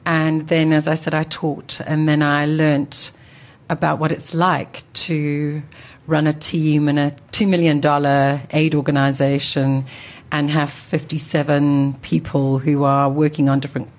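A woman in her sixties with a low voice speaks as an interview guest. She tells personal stories in an articulate, reflective way, pausing to think as she goes. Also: level tone. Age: 60s.

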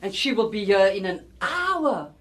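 A woman's voice: mid-range voice, big pitch swings, a little slow, fairly bright tone, breathy edge, heavily acted. A woman playing a harried, strict mother in a radio drama, exasperated and fussing, with a Cape Town South African accent. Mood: flustered. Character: harried, strict, fretful middle-aged mother. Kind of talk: amateur radio drama acting.

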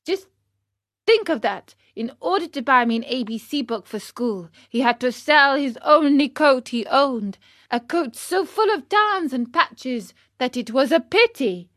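Woman voicing an eager, high-pitched little puppet boy. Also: expressive, measured pace, a bit bright, dry recording, clean studio sound. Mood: pitying, earnest. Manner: eager, emphatic, exclamatory. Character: Pinocchio, naive, excitable young boy marionette, earnest and loving.

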